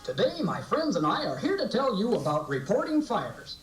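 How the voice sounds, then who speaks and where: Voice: mid-range voice, wildly swooping pitch, moderate pace, over music. A performer voicing a friendly, earnest puppet who addresses children in a scripted show. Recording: echoey, distant sound on VHS tape.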